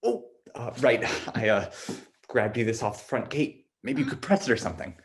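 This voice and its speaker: Dating partner, young male stage actor: medium register, casual, halting, understated with a morbid streak. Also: medium pitch, lively intonation, natural voice. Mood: awkward, casual. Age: young adult. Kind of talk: student stage acting, naturalistic dialogue.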